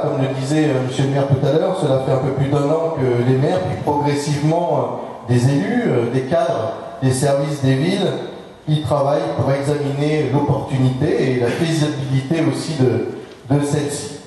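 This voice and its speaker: A man, a local elected official, delivers a formal speech at a public meeting in a measured, halting way, often hesitating and repeating words. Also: mid-range voice, mellow. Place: large public meeting hall, indoors. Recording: very echoey hall, distant microphone.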